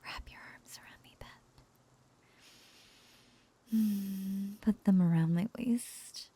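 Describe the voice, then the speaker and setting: A woman playing an obsessive, lovesick young witch who coos over a captive beloved, clingy and breathy with frequent whispers, in an intimate roleplay audio drama. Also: medium pitch, takes it slowly, dark tone, a little hiss or hum, lightly acted. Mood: wistful, possessive. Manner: cooing, clingy, breathy, often dropping into whispers.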